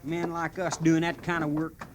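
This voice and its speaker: Man acting a high-voiced, hot-headed cavalry private, noisy recording. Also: monotone, moderate pace, close to an everyday voice. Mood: restless, scornful. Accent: American. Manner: folksy, defiant, needling. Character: restless, hot-headed frontier cavalry private spoiling for a fight. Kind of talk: 1950s American Western radio acting. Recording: noisy old-time radio recording.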